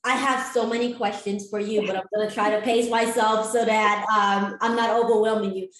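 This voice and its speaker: A woman's voice: medium pitch, level tone, normal speed, a bit bright. A woman hosting an interview show, introducing her guest and asking questions in an upbeat, emphatic way. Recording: echoey room.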